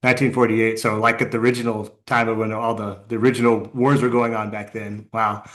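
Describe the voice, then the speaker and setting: A man's voice: lowish voice, level tone, brisk, a bit bright. An American man hosting a podcast in a casual interview style, informal and often circling back over his phrases. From United States. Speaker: podcast host.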